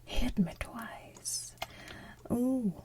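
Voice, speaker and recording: Woman singing as slow, gloating sludge monster villain. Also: on the high side, dark tone, in a whisper. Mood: gloating, sinister. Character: gross evil male pollution sludge monster, singing villain song. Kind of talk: soft ASMR singing.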